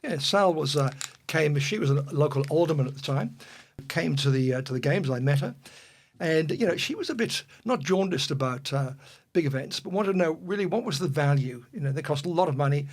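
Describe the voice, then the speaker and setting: A man's voice: fairly high pitch, lively intonation, brisk. Older Welsh-born man reminiscing. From Wales. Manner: rambling with frequent fillers and restarts. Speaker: guest. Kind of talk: relaxed reminiscing.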